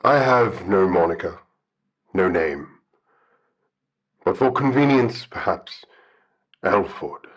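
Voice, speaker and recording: An actor playing a solemn, shy, bear-like guardian spirit in an audio drama, speaking formally and hesitantly. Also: male voice, low voice, lively intonation, slow pace, long pauses, fairly bright tone, breathy edge, exaggerated voice. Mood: formal, reserved. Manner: formal, hesitant, articulate speech. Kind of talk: audio drama radio call-in acting.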